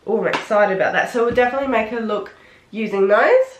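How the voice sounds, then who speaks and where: A woman with a head cold, sounding nasal and sniffly, chatting excitedly through a casual makeup tutorial. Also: a little high, quick pace, a little hiss or hum. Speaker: beauty vlogger. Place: home room. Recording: roomy, echoey room.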